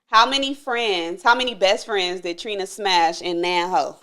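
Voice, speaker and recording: A woman's voice: mid-range voice, unhurried, sharp tone. A thirty-year-old woman hosting a podcast, chatting frankly with frequent laughter and swearing. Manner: frank, giggly, swears freely. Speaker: podcast host. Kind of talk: casual chat with drinking game.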